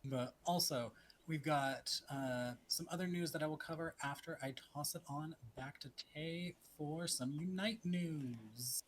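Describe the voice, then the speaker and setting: A man's voice: a little high, a little slow. A man in his twenties co-hosting a casual podcast, chatty, laughing easily and trailing off with fillers. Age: 20s. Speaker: podcast co-host.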